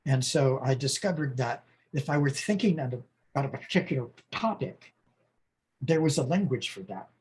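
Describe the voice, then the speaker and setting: An older man in his sixties, a guest on a conversation podcast. His voice is husky and slightly breathy, at an ordinary pitch for a man. He tells his stories loosely, trailing off and circling back as he goes. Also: expressive, fairly quick. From United States. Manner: rambling, warm storytelling with laughs and restarts. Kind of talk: casual interview conversation.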